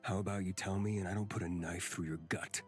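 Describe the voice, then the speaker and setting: A man's voice: low-pitched, fairly even intonation, quick pace, faint music behind, natural voice. A man voicing a grumpy, short-tempered, threatening companion in a visual novel, curt and irritable. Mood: irritated, menacing.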